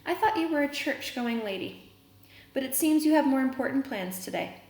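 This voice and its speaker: A woman's voice: high voice, animated, normal speed, darkish tone, pitched above the performer's own voice. A woman voicing a dour, suspicious female neighbor in a storybook, stiff and disapproving with insinuating remarks. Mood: snide, suspicious. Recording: roomy echo, noticeable background noise.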